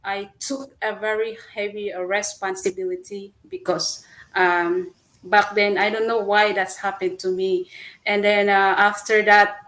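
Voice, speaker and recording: A middle-aged woman from the Philippines with a Filipino accent, speaking English as a second language as an interview guest, halting, repeating words and laughing often. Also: medium pitch, little pitch movement, a bit bright, poor audio. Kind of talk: personal story interview.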